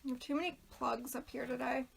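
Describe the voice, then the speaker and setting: A woman's voice: sits high, steady tone, fast talker, creaky, very noisy. A woman hosting a casual craft livestream, chatting loosely and greeting viewers by name, her voice a little worn and throaty. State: losing her voice, clearing throat. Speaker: craft video host.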